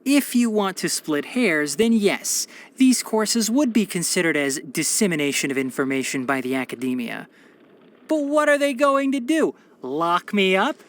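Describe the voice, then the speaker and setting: A man's voice: high-pitched, expressive, normal speed, a bit bright. A man voicing a brainy, caring young fox-eared forest watcher in a video game, articulate and bookish with a dryly sardonic manner. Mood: dry defiance. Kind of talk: scripted video game character voice lines.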